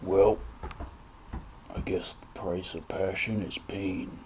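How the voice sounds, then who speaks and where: An actor playing a cynical, hardboiled private eye in a noir audio drama, gravelly and deadpan. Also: male voice, low-pitched, slow, a little hiss or hum. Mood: cynical, morose. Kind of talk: noir detective audio drama, narration and dialogue.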